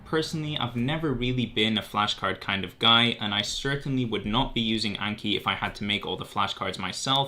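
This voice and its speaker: A man in his twenties presents in an informal vlog style. His voice sits fairly low but has a bright, crisp edge. He explains things in a chatty way, leaning on key words for emphasis. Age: 20s. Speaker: medical student presenting study resources. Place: bright home room, indoors.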